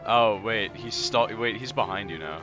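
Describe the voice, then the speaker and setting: Low-voiced young man under loud game music. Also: moderate pace, highs cut off. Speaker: gaming friend watching and commenting. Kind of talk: casual gaming chat.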